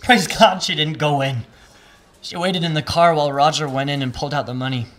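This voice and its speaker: A man's voice: a little high, steady tone, a bit bright, close to an everyday voice. A man playing a grieving, shaken robbery survivor giving emotional testimony in an audio drama. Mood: sombre. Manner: halting, emotional testimony turning to storytelling. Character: shaken, grieving male bank robbery witness, prickly, raw. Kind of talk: audio drama acting, first-person narration.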